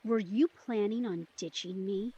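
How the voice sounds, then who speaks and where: Girl playing a new vampire in an audio drama, breathy, earnest, kinda insecure but teasing. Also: mid-range voice, unhurried, dark tone, lo-fi sound. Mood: wary, hurt. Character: young newly turned vampire woman, earnest, teasing, insecure. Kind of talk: intimate immersive audio drama acting.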